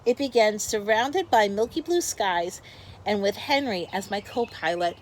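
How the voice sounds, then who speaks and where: A woman's voice: medium pitch, lively intonation, a little slow, slight background noise. A woman teacher reads a picture book aloud to young children, expressively, with explanatory asides to her pupils.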